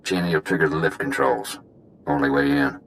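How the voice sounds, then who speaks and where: Very deep-voiced, practical prison-break technician. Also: male voice, steady tone, a little fast, a bit bright, slight background noise. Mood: businesslike. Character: calm practical male prison-break technician, matter-of-fact. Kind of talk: video game voice acting.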